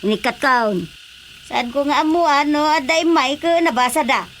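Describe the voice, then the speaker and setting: A woman's voice: high-pitched, measured pace, a bit bright, a little hiss or hum. An actress with a Filipino accent playing an insistent, nagging village woman in a radio comedy drama. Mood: fussing.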